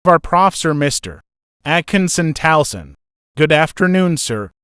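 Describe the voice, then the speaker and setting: A man's voice: a little high, very animated, unhurried, a bit bright, clear, resonant tone, no room sound, studio quality. A man presenting mock breaking news as anchor and interviewer, formal and polite with non-native phrasing.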